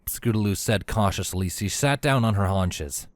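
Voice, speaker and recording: A man's voice: lowish voice, normal speed, no echo. A man narrating a scripted story as its narrator, in a prose reading style.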